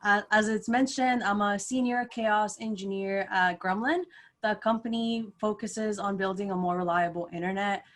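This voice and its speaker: A woman's voice: mid-range voice, fairly even intonation, normal speed. A young adult woman from Costa Rica, introducing herself eagerly on a panel over a video call, with frequent "like" fillers. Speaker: panelist, engineer.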